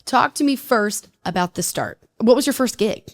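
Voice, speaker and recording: A woman's voice: on the high side, expressive, moderate pace. A young adult woman hosting a podcast interview, upbeat and enthusiastic. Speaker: podcast host. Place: conference venue, recorded live.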